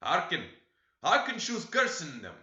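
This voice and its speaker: A male reader voicing a cantankerous old male farm servant who shrieks in a high voice. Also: huge pitch swings, measured pace, sharp tone, heavily acted. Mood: grumbling. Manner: high, shrieking alarm calls. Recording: dull, roomy home recording.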